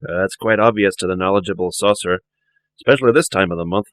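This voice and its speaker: A man's voice: sits low, fast, dry recording, natural voice. A man playing a polite, knowing sorcerer in a radio play, with a prim and courteous manner. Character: polite, knowing male sorcerer, brisk customer.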